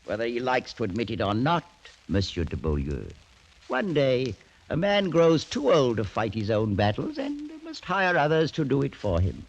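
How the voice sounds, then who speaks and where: A man's voice: medium pitch, sing-song, moderate pace, muffled recording. An actor playing a ruthless, imperious ageing French nobleman in a theatrical, mocking, commanding old-time radio drama style. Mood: smug. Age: older. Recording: noisy vintage radio drama recording.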